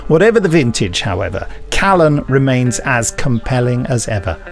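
A man's voice: mid-range voice, sing-song, normal speed. A man hosting a podcast, mixing chatty, jokey banter with playfully theatrical scripted promo reading. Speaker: podcast host. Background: music bed.

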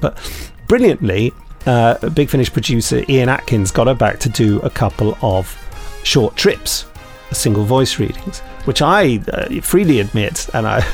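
A man's voice: on the low side, creaky. A man co-hosting a podcast, chatting and reading out reviews in a jokey, rambling, digressive way. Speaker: podcast co-host.

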